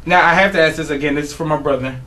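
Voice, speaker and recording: A man's voice: fairly high pitch, racing, sharp tone, recorded from a distance. A man hosting a radio interview in a studio, asking teasing questions in a loose, slangy, joking way. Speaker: radio host.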